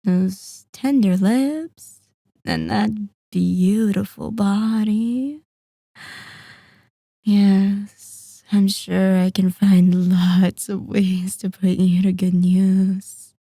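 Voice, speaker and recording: Woman playing a very slow-talking, sadistic seductive vampire lady. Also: mid-range voice, fairly even intonation, mellow, dry recording, clean studio sound. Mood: seductive, possessive. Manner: condescending, teasing, savoring each phrase with pauses. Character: sadistic, possessive female vampire lord, seductive and menacing. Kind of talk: scripted ASMR roleplay monologue.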